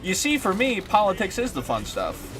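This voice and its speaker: A man's voice: high-pitched, wildly swooping pitch, normal speed, music in the background. A young man streaming a game, chatting casually in a rambling, sweary way and sounding a bit stuffed up. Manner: rambling and sweary, lots of fillers. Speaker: gaming streamer. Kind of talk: casual chat while playing a game.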